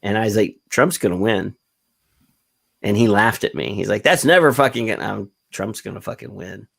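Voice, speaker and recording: Gravelly-voiced man hosting a chat. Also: fairly low pitch, moderate pace, a bit bright. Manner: rambling storyteller, many fillers. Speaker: podcast host. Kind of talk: casual chat.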